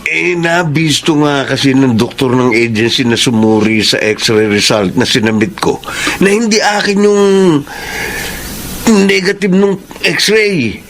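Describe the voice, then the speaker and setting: An actor playing a scheming, cheating husband in a Filipino radio drama, wheedling and dramatic. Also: male voice, medium pitch, fairly even intonation, a little slow, a bit bright. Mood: defensive, jealous. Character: scheming, cheating husband sweet-talking his mistress.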